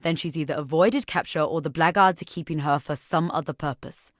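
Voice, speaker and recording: Game role: solemn warrior matriarch, voiced by an actress, fairly deep female register, deliberate and formal, protective, very dry recording. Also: dry recording, lightly acted. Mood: somber, grieving. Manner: measured, grave, formal, deliberate phrasing. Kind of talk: video game character dialogue.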